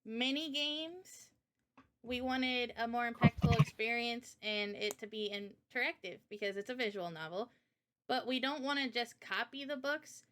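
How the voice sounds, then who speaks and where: A woman with a slightly high voice talks in a relaxed livestream presentation style. She explains her work as a game developer in informal, slangy language. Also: moderate pace, a little hiss or hum. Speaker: game developer presenting a visual novel project.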